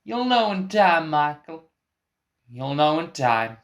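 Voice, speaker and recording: A man's voice: fairly high pitch, very animated, slow, heavily acted. A man playing an eccentric, evasive film collaborator in a comic radio play, insistent and theatrically cryptic. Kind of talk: scripted comic mock interview.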